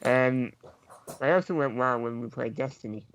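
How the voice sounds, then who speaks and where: A man on a gaming podcast panel, talking casually in short, halting pieces. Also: mid-range voice, a bit bright, slight background noise, rough recording.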